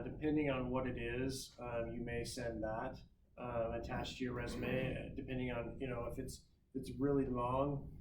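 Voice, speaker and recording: A man speaking as a guest recruiter in a class, giving career advice in a loose, conversational way with lots of ums and restarts. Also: medium pitch. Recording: roomy, distant mic, noisy.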